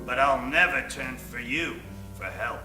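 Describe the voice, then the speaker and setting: A proud, exasperated spaceship captain of old-time radio acted by a man. He declaims slowly in a very forceful, piercing voice, set a little back in a roomy space. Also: mid-range voice, recorded from a distance, soft background music.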